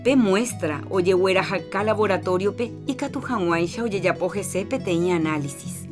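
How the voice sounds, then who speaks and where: Woman, Paraguayan accent, health announcer, measured, mid pitch, music underneath. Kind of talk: scripted public health announcement.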